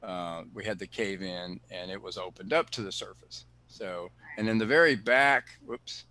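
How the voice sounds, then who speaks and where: A man, director of a cave preserve, answering questions on a video call in an explanatory way, often pausing with fillers and restarting sentences. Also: sits low, pushed and bright, slight background noise. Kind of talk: answering questions in an online talk.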